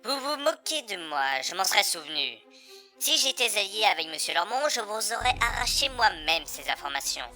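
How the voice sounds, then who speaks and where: A woman's voice: medium pitch, very animated, fairly quick, sharp tone, faint music behind. A woman voicing a cold, secretive underworld boss's messenger in a French audio drama, formal, clipped and menacingly polite. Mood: stern threatening.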